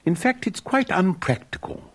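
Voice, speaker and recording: A man's voice: high-pitched, very animated, normal speed, a bit bright, slightly rough, pitched above the performer's own voice, exaggerated voice. A man voicing an earnest, pompous young male student in a storybook fairy tale, with music behind. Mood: disillusioned.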